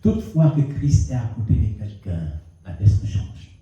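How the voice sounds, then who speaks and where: A male pastor with a cold, preaching a sermon and telling a story with lively rising and falling emphasis. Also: fairly low pitch, normal speed, lo-fi sound, crowd noise. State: has a cold. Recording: echoey hall, mic at a distance.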